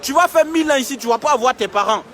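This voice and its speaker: A man's voice: extremely high pitch, lively intonation, very fast, fairly bright tone. A young man livestreaming outdoors on his phone, talking emphatically and repeating himself as he urges viewers. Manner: emphatic, repetitive, urging viewers. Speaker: live-streaming vlogger. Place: outdoors in a city street camp. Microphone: phone held while filming.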